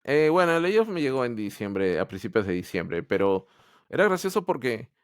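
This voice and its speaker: A man's voice: mid-range voice, sing-song, moderate pace, smooth, dry recording, clean studio sound. A man from Peru, telling his story as a podcast guest in a candid, hesitant way full of restarts. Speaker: podcast guest, Android developer. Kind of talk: casual interview storytelling.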